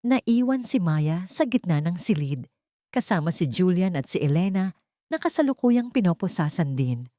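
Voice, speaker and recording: A woman narrates a scripted drama in a low voice with an animated melody. The sound is dry and close, suited to an audio story. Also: normal speed, dry recording.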